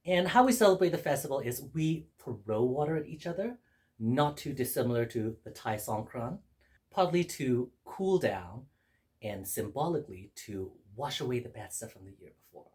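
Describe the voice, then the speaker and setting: A man from Burma hosting guests at his home, casually explaining a festival with a lively storytelling manner. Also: medium pitch, moderate pace. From Mandalay, Burma. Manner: lively storyteller, laughs while telling. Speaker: host. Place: home in Brooklyn.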